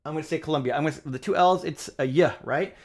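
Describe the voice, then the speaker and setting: A man's voice: on the high side, very fast. A middle-aged man hosting a casual music livestream, chatty and upbeat, with lots of fillers. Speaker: livestream pianist host.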